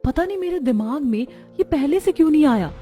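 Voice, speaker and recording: A woman acting a caring, earnest cartoon woman of a poor household. The voice is high and very mellow, speaking expressively, with music underneath. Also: animated, brisk. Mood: happy, tender. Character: caring women of a poor cartoon family and neighbourhood.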